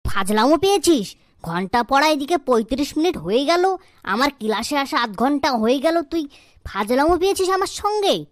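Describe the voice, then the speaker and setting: High-pitched strict cartoon schoolteacher acted by a woman. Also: very animated, moderate pace, no echo, studio quality. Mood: angry scolding. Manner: stern, interrogating. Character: strict, scolding schoolteacher, quick to threaten thrashings. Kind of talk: comedy cartoon voice acting.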